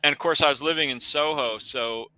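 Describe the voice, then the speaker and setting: Bright, crisp man in his sixties, rambling. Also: on the high side, a little fast, dry recording, low-quality recording. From American Midwest. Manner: rambling, self-correcting. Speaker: co-host. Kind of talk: casual chat. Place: home office.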